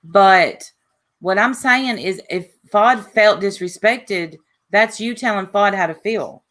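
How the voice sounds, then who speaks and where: A woman's voice: medium pitch, takes it slowly, a bit bright. A woman hosting a live stream panel, talking bluntly and cutting in to argue.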